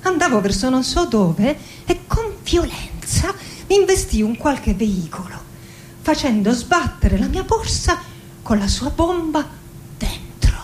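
A high-pitched middle-aged woman poet in a radio drama, reciting slowly and lyrically. She is melancholy yet wry and passionate, leaving dramatic pauses, over a faint rumbling noise in the recording. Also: big pitch swings. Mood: wry, narrative. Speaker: actress performing a dramatic monologue. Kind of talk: theatrical radio monologue, poetic recitation.

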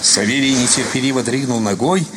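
A man's voice: mid-range voice, brisk, noisy background. A man narrating a literary story in a dramatic radio style. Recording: loud music behind the voice.

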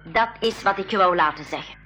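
A woman's voice: medium pitch, a little fast, pushed and bright, faint music behind, natural voice. An actress playing a bold, stubborn woman explorer in a school radio drama, reasoning emphatically. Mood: insistent. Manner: emphatic, clearly enunciated reasoning. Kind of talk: 1980s Dutch-language school radio drama acting.

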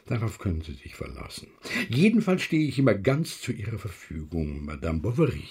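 An actor playing a wily, fawning village fashion merchant in a radio drama, husky and insinuating. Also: male voice, fairly low pitch, sing-song, fairly quick, a little mellow, heavily acted. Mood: smooth, insinuating. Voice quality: gravelly, husky. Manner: obsequious, insinuating. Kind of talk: German literary radio drama acting.

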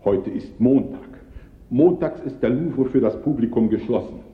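An actor playing a formal, measured, worried museum official in a German radio play. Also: male voice, mid-range voice, expressive, close to an everyday voice. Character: formal, worried male museum director in Paris. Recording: dull, echoey hall, old radio recording.

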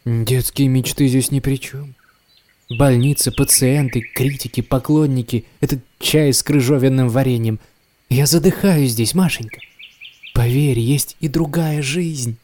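An actor playing a restless, self-doubting writer in an audio drama, speaking in a wistful, courteous manner. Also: male voice, medium pitch, steady tone, unhurried, dark tone, close to an everyday voice. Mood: stifled, resolute. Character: weary, restless, self-doubting male writer set on escape. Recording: clear background noise, some clicks.

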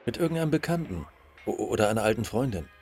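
A man's voice: on the low side, sing-song, fast talker, over music. An actor playing a laconic, wry male friend giving his account in an interview-like radio drama. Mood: tired, reflective.

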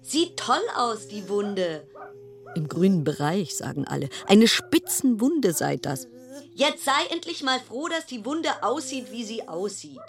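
A woman's voice: mid-range voice, big pitch swings, unhurried. A woman acting in a radio play as an anxious, sardonic quarantined passenger, delivering a brooding, dramatic interior monologue. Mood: sardonic, weary.

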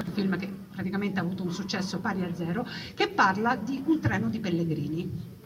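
A woman performing an ironic, colloquial storytelling monologue with dramatic pauses and emphasis. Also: mid-range voice. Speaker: stage monologuist. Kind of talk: live theatrical storytelling monologue. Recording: distant mic, noisy live recording.

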